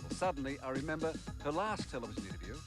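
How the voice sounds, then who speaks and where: A man's voice: mid-range voice, very animated, rapid-fire, over music. A man with an Australian accent reading a scripted narration for a television profile. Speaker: TV interviewer and narrator.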